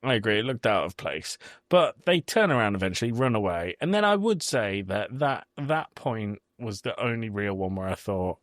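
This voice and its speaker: Male podcast co-host, lower-pitched voice, casual film discussion, very dry recording. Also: very animated, normal speed, hardly any pauses, a bit bright, no echo.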